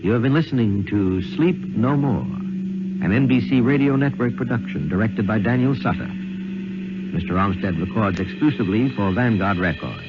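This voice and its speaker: A man's voice: lowish voice, expressive, normal speed, very noisy. A man hosting a radio show, speaking in a scripted 1950s announcer style. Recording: dull vintage radio recording. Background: loud music.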